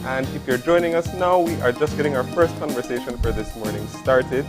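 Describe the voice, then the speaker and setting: A man's voice: mid-range voice, fairly quick, over music. A man hosting a morning TV show, interviewing a guest in a friendly way with many fillers. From Belize.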